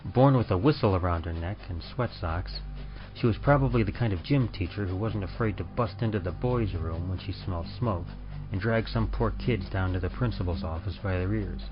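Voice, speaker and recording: A man's voice: low-pitched, moderate pace. A man narrating a story with a wry, dramatic old-time radio delivery. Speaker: story narrator, podcast presenter. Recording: dull lo-fi audio. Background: faint music.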